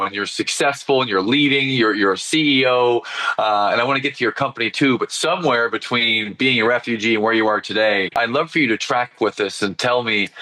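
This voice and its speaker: A man's voice: on the low side, bright, forceful tone, rough recording. A man hosting an interview podcast, asking questions in an encouraging, conversational way. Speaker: podcast host. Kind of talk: interview host.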